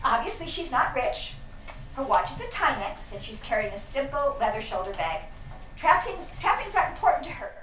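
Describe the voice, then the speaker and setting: A woman's voice: high-pitched, sing-song, a little fast, a bit bright. A woman reading a short story aloud at a live event, in a wry, dramatic first-person storytelling manner. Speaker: reader at a live fiction event. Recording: echoey live room recording, distant mic, hiss.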